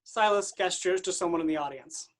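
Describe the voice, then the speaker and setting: A woman's voice: on the low side, brisk. A woman reading scripted stage directions as the narrator of a staged play reading.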